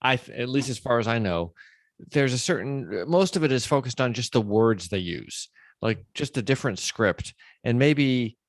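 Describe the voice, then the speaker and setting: A man's voice: medium pitch, lively intonation, normal speed. A man hosting an interview podcast, speaking in a conversational style. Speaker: podcast host.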